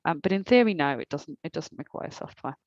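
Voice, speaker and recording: A woman's voice: low voice, expressive, a little fast, darkish tone, dry recording, dull audio. Woman with a slight accent, hesitant webinar trainer. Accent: slight non-native accent. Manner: hesitant, many ums and restarts, reads questions aloud. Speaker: subtitling trainer. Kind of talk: answering audience questions in a webinar.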